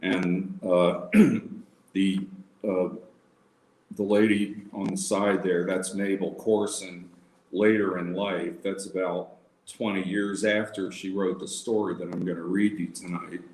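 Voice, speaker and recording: A man presenting an informal livestream lecture as a society president, talking conversationally and haltingly. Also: deep voice, level tone, darkish tone. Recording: roomy, echoing livestream audio.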